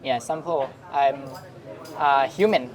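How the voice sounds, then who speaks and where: A young man with a Thai accent, a Buddhist monk, talking haltingly in an interview as he searches for words. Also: medium pitch. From Shan State, Myanmar, raised in Thailand. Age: young adult. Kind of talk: casual interview conversation. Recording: busy crowd noise around. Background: crowd chatter.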